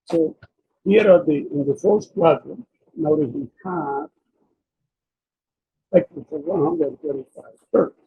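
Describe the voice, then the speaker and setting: A man giving an explanatory academic slide presentation, speaking haltingly and often repeating and rephrasing himself. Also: sits high, sing-song, gravelly, slightly rough, phone-quality sound. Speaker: presenter of a technical talk.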